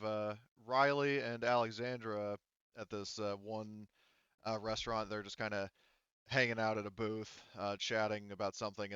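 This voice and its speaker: A man's voice: lowish voice, fairly bright tone, clear, resonant tone, dry recording. A man improvising casual, loosely phrased narration as game master of a tabletop roleplaying game, on slightly dull audio.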